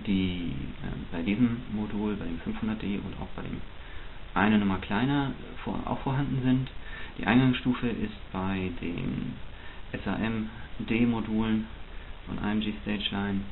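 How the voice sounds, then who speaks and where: Male hobby reviewer, lowish voice, explanatory unboxing commentary, phone-like lo-fi sound. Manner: technical, explanatory. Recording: muffled phone-like sound, clear hiss.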